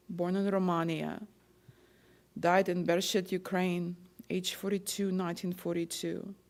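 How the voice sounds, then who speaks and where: A woman slowly reading a list of victims' names, places and dates in a measured, solemn recitation, sometimes stumbling over a name. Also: fairly low pitch, darkish tone. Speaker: name reader at a remembrance ceremony.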